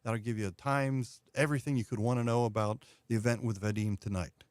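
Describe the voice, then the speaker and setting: A man's voice: mid-range voice, steady tone, brisk, no echo. A man as a radio studio guest, conversationally giving helpful, informative event details. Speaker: interview guest, event organizer. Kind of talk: conversational announcement.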